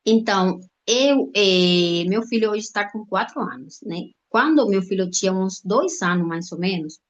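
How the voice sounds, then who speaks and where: A woman with a Cuban accent, a native Spanish speaker, giving an informal testimonial haltingly, with frequent check-in tags. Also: mid-range voice, expressive. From Cuba. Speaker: guest speaker, doctor. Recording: dull lo-fi online-call audio.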